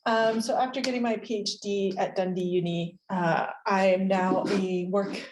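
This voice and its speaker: A woman's voice: mid-range voice, fairly even intonation, moderate pace, background noise. Chatty American woman in an echoey room. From United States. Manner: friendly, chatty, laughs often, many fillers. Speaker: visiting researcher giving a seminar. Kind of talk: academic seminar talk. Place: university seminar room.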